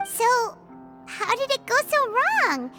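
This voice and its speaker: A tiny floating fairy companion from a video game, her voice extremely high and bouncing wildly up and down. She is endlessly curious and refers to herself in the third person. Game music plays clearly behind her. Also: very animated, slow pace, heavily acted. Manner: speaks of herself in third person, eager questions.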